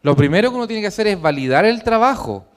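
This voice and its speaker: Formal lecturing man, smooth voice. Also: high voice, very animated, fast. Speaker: author presenting his book. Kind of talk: lecture with audience questions. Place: hotel conference room.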